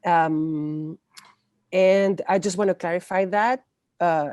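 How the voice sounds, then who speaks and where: A woman in her forties with a Chilean accent speaks in a fairly low register. The sound is dry, with little room in it. She explains things conversationally as an interview guest, halting now and then to search for words. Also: fairly even intonation, a little mellow, no echo, lo-fi sound. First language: Spanish. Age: 40s. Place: indoors, room with bookshelves, on a video call. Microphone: wired earphones on a video call.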